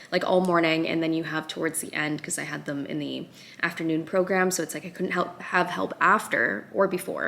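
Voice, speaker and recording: A woman in her thirties, talking to the camera at home in a chatty, upbeat way that is full of fillers. Her voice sits low and has a lot of gravelly fry, and she sounds a little raspy, as if she is only half awake. A faint hiss runs under the recording. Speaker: lifestyle vlogger. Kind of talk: casual chatty vlog.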